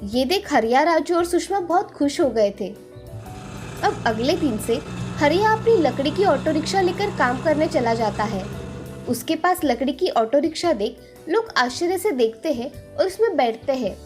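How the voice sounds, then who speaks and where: A woman storyteller narrates a children's tale in a high voice. Soft music plays faintly behind her scripted reading. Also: expressive, moderate pace.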